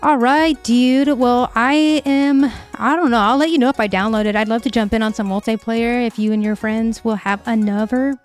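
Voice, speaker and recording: A woman's voice: on the high side, normal speed, few pauses, soft background music. Loose, swearing livestream host, woman. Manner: loose, profane, laughing. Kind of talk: casual chat.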